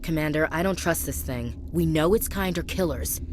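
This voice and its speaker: Woman as a low-voiced, distrustful female soldier. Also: moderate pace, slight background noise, natural voice. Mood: wary, firm. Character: tough female soldier companion, distrustful and blunt. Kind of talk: video game character acting.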